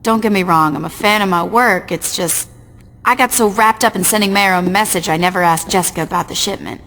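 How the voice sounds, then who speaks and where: A woman's voice: mid-range voice, brisk, slight background noise, close to an everyday voice. An actress playing a ruthless, sardonic female gang boss in a video game, commanding and coolly threatening. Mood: cool, decisive. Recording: very loud music behind the voice.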